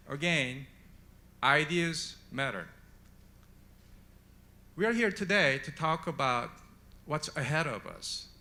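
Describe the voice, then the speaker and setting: Bright-voiced man giving a speech. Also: high voice. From Korea. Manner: emphatic, rhetorical questions, pauses for effect. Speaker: conference speaker. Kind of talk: speech at a conference panel. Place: conference hall on stage.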